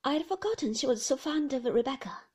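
A woman's voice: high voice, sing-song, measured pace, husky, pitched above the performer's own voice, exaggerated voice. A woman voicing an upper-class sister-in-law who speaks in gushing, emphatic exclamations. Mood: dismayed.

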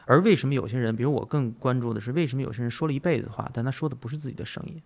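Fast-talking man, emphatic podcast host. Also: medium pitch, creaky, a little mellow. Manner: emphatic, repeats himself, rhetorical questions. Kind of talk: conversational interview, philosophical musing.

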